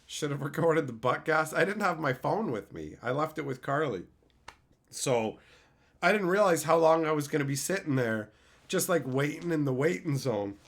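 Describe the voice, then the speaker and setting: Loud, profane, animated male streamer. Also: a little high, normal speed. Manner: loud, profane, laughs often. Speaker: streamer host. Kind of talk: casual live-stream chat.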